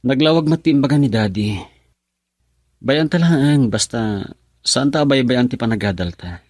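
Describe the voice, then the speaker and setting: A man's voice: medium pitch, lively intonation, normal speed, darkish tone, no echo, studio quality, close to an everyday voice. A man playing an affectionate, earnest college-age boyfriend in an Ilocano radio drama. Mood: concerned. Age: young adult.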